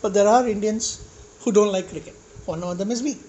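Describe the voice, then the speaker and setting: Guy vlogging at home, kinda high voice, chill but ranting, bit of background hiss. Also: a little fast, darkish tone. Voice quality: slightly high-pitched for his age. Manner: ranting, blunt, laid-back. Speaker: food vlogger. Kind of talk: casual chat.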